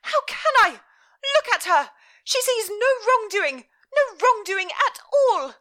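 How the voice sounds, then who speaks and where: A woman voicing a furious middle-aged Victorian mother, scolding in a shrill, near-shouting voice. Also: big pitch swings, takes it slowly, exaggerated voice.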